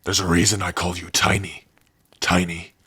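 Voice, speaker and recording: A man voicing a big, gruff but tender orc warrior in an ASMR roleplay, shy and devoted, speaking haltingly and intimately close to the mic. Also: flat delivery, no echo, heavily acted. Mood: bashful, tender. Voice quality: husky, breathy low voice. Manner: slow, halting, softly intimate. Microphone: close dry microphone.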